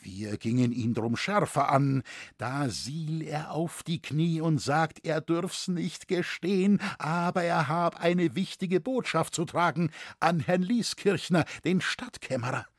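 A man's voice: fairly high pitch, slow pace, no room sound, clean studio sound, voice pushed up. Man as an agitated, rustic blacksmith. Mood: earnest recounting. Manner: rustic, deferential, rambling old-fashioned speech. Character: breathless, burly male blacksmith, honest and agitated.

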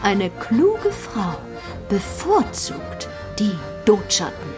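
A woman's voice: medium pitch, sing-song, extremely slow, over music. An actress playing a self-assured, flirtatious woman professor in a radio drama, articulate and given to lecturing.

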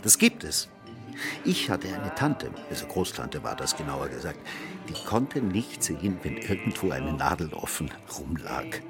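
A man's voice: a bass voice, big pitch swings, a little slow, music in the background, heavily acted. An actor playing a world-weary, cynical man who philosophizes in a rambling, theatrical, mock-courteous way in a radio drama. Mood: musing, dry. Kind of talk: German radio drama dialogue.

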